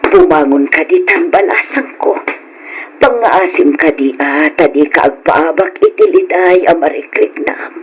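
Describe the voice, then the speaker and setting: A woman's voice: sits low, very animated, a little slow, a little hiss or hum, exaggerated voice. An actress playing a grieving elderly mother in an old Ilocano radio drama, speaking in a plaintive, pleading, emotional way.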